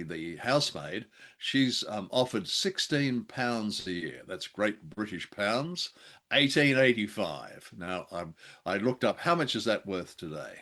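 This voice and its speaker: A man with a low-pitched voice co-hosts a casual review discussion. His delivery is conversational and somewhat hesitant, with a jokey streak as he trades thoughts with a fellow host. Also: fairly bright tone. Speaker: podcast co-host. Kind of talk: casual film and radio discussion.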